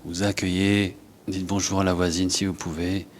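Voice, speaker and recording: A man leads a guided yoga and breathing class, giving unhurried instructions in short phrases with pauses. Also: deep voice, level tone, a little hiss or hum. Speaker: yoga teacher.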